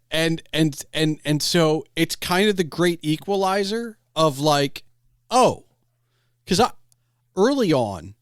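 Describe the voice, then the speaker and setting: A man hosting a casual interview podcast, thinking aloud in a halting way and often restarting his phrases. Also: a little high, fairly bright tone, no room sound, studio quality. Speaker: podcast host.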